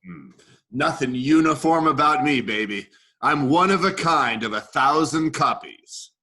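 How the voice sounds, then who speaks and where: A man's voice: fairly high pitch, lively intonation, a little slow, pushed and bright, full character voice. A man in a staged play reading, playing a macho G.I. Joe soldier doll, cocky and protective, with tough-guy endearments. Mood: flirty, boastful.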